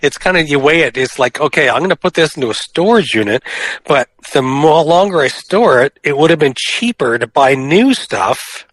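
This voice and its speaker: A man co-hosting a podcast remotely over a gamer headset, stuffed up with a cold, easygoing and quick to laugh. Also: fairly high pitch, lively intonation, fairly bright tone, dry recording. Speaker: podcast co-host. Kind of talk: casual chat. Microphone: gamer headset, recording remotely.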